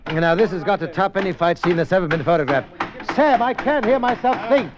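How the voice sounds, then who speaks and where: An actor playing a fussy, callous, harried film director in 1950s radio drama, with an exasperated, grandiose manner. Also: male voice, high-pitched, animated, a little fast. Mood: grandiose, irritated. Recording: dull, noisy 1950s radio recording.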